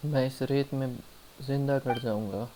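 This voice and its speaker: Male game streamer, rapid casual commentary, distant reverberant sound with clear background chatter. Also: mid-range voice, fairly even intonation. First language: Hindi. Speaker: live streamer. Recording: distant, echoey room, game audio behind.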